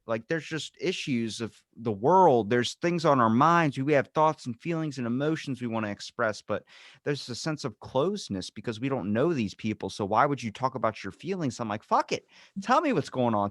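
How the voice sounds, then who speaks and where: A man hosting a podcast, chatting casually and bluntly, swearing freely with animated asides. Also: medium pitch, brisk, no echo, clean studio sound. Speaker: podcast host. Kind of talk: casual interview chat.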